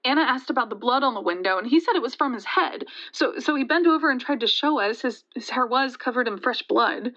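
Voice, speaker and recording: Audio drama: rattled young female storyteller, higher-pitched, conversational and hesitant, slightly muffled lo-fi sound. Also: animated, a bit bright. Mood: tense, hesitant. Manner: halting, conversational, with hesitations and restarts. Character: shaken young woman recounting a terrifying night. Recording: dull, lo-fi sound.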